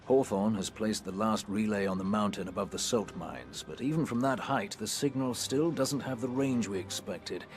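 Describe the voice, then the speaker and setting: Man playing a low-voiced, genteel British militia veteran. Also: normal speed, few pauses, faint music behind, natural voice. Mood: wry, teasing. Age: middle-aged. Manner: polite, droll, old-fashioned phrasing. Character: genteel older male militia sniper, courteous and wry. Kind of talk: video game character acting.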